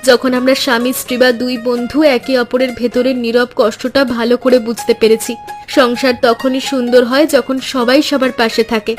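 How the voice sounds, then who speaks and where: A woman voicing a sensible, devoted village housewife in a cartoon story, speaking in an earnest, measured way. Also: fairly high pitch, little pitch movement, soft background music, close to an everyday voice. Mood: earnest, reflective. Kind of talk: Bengali animated story voice acting.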